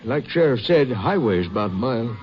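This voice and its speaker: An actor playing a folksy, defensive older Texas rancher in a 1950s radio western, with rambling rural talk. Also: male voice, medium pitch, lively intonation, fairly quick, soft background music, lightly acted. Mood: earnest, puzzled. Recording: muffled old radio recording, some noise.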